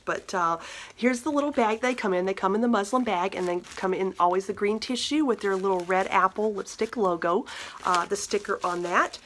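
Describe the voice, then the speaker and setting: A woman's voice: mid-range voice, a bit bright, a little hiss or hum. A woman in her thirties with a cold that makes her slightly nasal, chatting casually to the camera about makeup from her bedroom, upbeat and full of little fillers. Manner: chatty and upbeat, with many fillers and small laughs. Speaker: beauty vlogger. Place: bedroom, indoors. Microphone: camera at desk distance.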